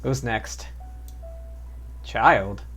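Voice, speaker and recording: A man's voice: mid-range voice, extremely slow, a bit bright, faint music behind. A man doing casual live gameplay commentary as a streamer, talking to himself as he plays. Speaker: game streamer.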